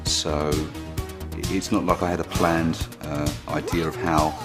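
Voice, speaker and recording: A man's voice: very deep voice, little pitch movement, takes it slowly, music in the background. A musician man reflecting on songwriting in a plain, modest way. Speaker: interviewee, musician. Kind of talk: reflective interview.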